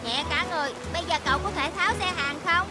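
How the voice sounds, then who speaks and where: A little rescue robot from a children's cartoon. It has a very high voice and a caring, helpful manner. Loud music plays underneath. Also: fast talker. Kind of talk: animated children's cartoon dubbing.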